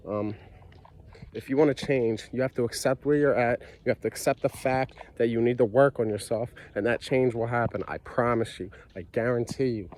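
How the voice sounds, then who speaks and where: A man's voice: medium pitch, fairly even intonation, moderate pace, a little mellow. A stuffy-nosed man with a cold, talking earnestly and casually about his own life, with lots of fillers and repeated phrases. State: congested with a cold, stuffy nose. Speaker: vlogger sharing his recovery story. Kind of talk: casual personal monologue.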